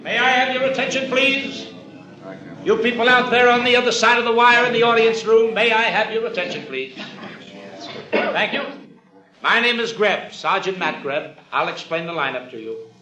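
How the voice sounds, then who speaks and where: Echoey official police sergeant. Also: male voice, very high voice, expressive, moderate pace, full character voice. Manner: formal, procedural, projecting instructions. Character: brisk official male police sergeant addressing a lineup audience. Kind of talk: 1950s radio crime drama acting. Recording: echoey, muffled old radio, loud music. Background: loud music.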